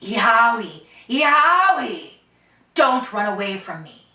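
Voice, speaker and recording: A woman voicing a very old, relentless grandmother with magic powers in a folktale, calling out in loud, drawn-out cries. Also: a little high, big pitch swings, heavily acted. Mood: menacing calling. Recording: roomy echo, distant microphone.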